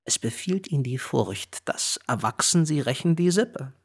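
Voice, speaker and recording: An actor playing a grave narrator of Greek tragedy, recounting solemnly in formal verse for a radio drama. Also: male voice, medium pitch, expressive, a little slow, breathy edge. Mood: grave, ominous.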